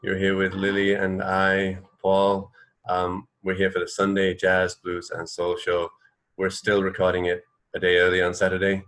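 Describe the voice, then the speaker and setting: A man's voice: low voice, completely flat delivery, normal speed, a bit bright. A man co-hosting a radio show in casual, easygoing, slightly hesitant chat. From England.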